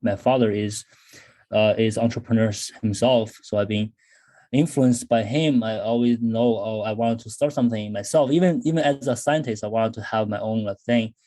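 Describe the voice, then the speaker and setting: A man's voice: fairly low pitch, normal speed, dark tone. A man in his thirties from China, speaking Chinese-accented English as an interview guest, thoughtful with many fillers and restarts. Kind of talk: casual interview talk.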